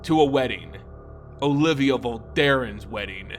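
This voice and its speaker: A man's voice: medium pitch, expressive, measured pace, pitched below the performer's own voice. Brooding ancient male vampire lord, acted by a male narrator, growling and grave, fantasy audiobook, music underneath. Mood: bitter, grave. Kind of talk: dramatic fantasy audiobook character voice.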